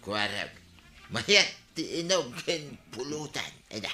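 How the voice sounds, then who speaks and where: A man's voice: medium pitch, big pitch swings, a little slow, music in the background. A man playing a boastful, grumbling married villager in an Ilocano radio comedy, with an Ilocano Filipino accent.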